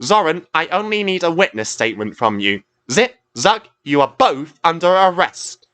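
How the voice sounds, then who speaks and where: A man's voice: a little high, very animated, normal speed, pushed and bright, pitched above the performer's own voice. A man voicing a stern male coast guard boat who lectures like an officer of the law.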